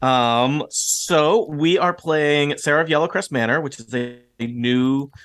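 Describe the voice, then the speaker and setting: A man with a slightly raspy voice, the game master, chatting loosely and joking at his own expense before a tabletop game. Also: fairly high pitch, takes it slowly, a bit bright. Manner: loose, rambling, self-mocking.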